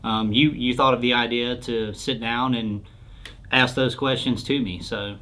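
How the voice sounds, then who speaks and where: A man's voice: medium pitch, steady tone, measured pace, bright, forceful tone. A man answering questions as a sales expert in an informal explainer interview, speaking in a plain, explanatory way.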